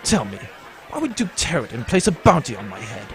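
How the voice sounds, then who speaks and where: An actor playing a cocky, flippant space pirate captain in an adventure audio drama, talking in a breezy, wry way. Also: male voice, mid-range voice, wildly swooping pitch, fairly quick, fairly bright tone, soft background music, some chatter behind. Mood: smug, flippant.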